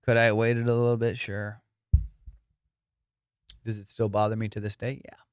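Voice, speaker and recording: A man's voice: lowish voice, moderate pace, smooth, dry recording, clean studio sound. A young adult man, a comedian and podcast host, telling boastful, profane stories in a casual monologue. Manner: profane, boastful storytelling with excited bursts.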